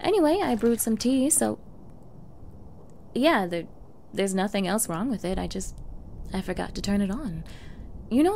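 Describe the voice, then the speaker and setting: A woman performs as a clingy young yandere woman in an ASMR roleplay, coy and eager, feigning ditzy innocence. Her voice sits at an average pitch with a creaky edge, and she stammers as if flustered. Faint noise sits behind the recording. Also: mellow. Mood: playfully ditzy. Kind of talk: ASMR girlfriend roleplay acting.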